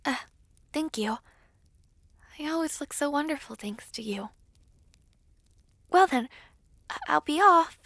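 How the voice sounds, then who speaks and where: A gentle young princess played by a woman speaks in a high, breathy voice at an unhurried pace. She is modest and hesitant, choosing polite, formal words. The recording is very dry and close, in the manner of an audio roleplay. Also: expressive, no echo, exaggerated voice. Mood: grateful, meek. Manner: soft-spoken, hesitant, polite, formal diction.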